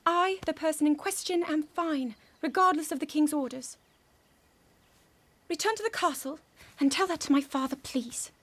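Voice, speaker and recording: High-voiced, proud young princess. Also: female voice, normal speed, a bit bright. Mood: indignant, curt. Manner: formal, precise, earnest. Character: proud, frustrated young princess, studious and headstrong. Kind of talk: video game cutscene acting.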